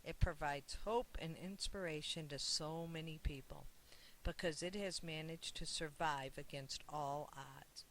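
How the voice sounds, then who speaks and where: Kind older man in a kids storybook, done by the reader, gentle and earnest, bright voice, hissy recording. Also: medium pitch, unhurried, rough recording.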